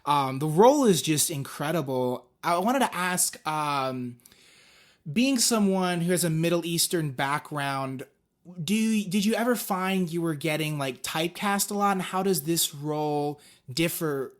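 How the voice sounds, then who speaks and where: A man's voice: fairly high pitch, expressive, measured pace. A man hosting an interview, asking friendly, rambling questions with restarts. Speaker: interviewer, host.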